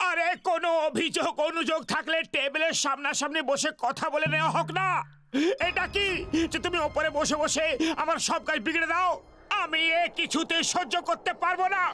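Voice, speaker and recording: An actor voicing a hapless, loud cartoon man who complains theatrically in exclamations, with a shrill, forceful delivery. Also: fairly even intonation, fairly quick, dry recording. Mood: indignant. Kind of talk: children's cartoon dubbing.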